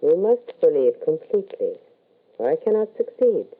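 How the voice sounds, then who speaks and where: A grave, mysterious spirit medium in a 1940s radio play, heard through a thin vintage recording. The formal, measured delivery rises and falls widely, full of cautious foreboding. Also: male voice, on the high side, sing-song, phone-quality sound. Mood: measured, probing. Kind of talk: 1940s radio drama acting.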